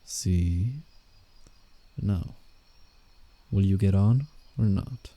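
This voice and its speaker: A man playing a guarded, teasing but soft-hearted werewolf in a scripted roleplay ASMR drama, speaking in a measured, reassuring way. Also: low voice, dry recording, heavily acted. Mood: playful, reassuring. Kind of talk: roleplay ASMR, scripted character acting with inner monologue.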